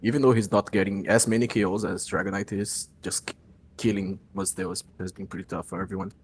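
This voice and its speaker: Low-voiced easygoing co-host, short remarks over a slightly noisy call. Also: male voice, steady tone, fast. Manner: brief agreeing interjections, easygoing. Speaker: co-host of a league recap. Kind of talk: casual game commentary.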